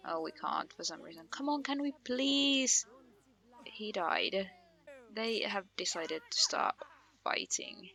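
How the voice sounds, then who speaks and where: A woman's voice: mid-range voice, very animated, takes it slowly, vocal fry, pushed and bright. A woman from Finland hosting a casual Let's Play, talking in a chatty, informal way. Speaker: gaming channel host.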